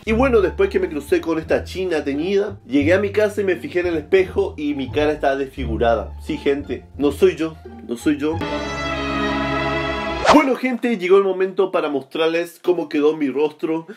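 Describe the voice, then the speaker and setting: A young Argentine man with a high-pitched voice tells a comic story to camera. He is theatrical and mock-dramatic, peppering it with crude slang, while faint music plays behind him. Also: lively intonation, normal speed. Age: young adult. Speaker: comedy vlogger. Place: small room, talking to camera.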